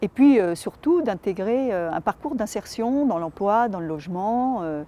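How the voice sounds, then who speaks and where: An official giving a measured statement in an interview, pausing with fillers. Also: male voice, high-pitched, animated, mellow.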